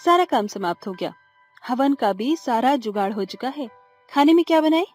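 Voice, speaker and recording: A woman voicing a young, sharp-tongued, dramatic daughter-in-law in a cartoon drama. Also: sits high, animated, moderate pace, faint music behind. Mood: polite, pointed. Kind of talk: Hindi cartoon drama acting.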